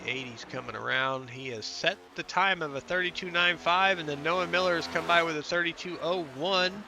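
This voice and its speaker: A man's voice: on the high side, fairly quick, background noise. Congested, nasal man calling a sim race. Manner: chatty play-by-play, reading out lap times. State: congested, under the weather. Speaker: sim racing commentator. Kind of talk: live race broadcast commentary.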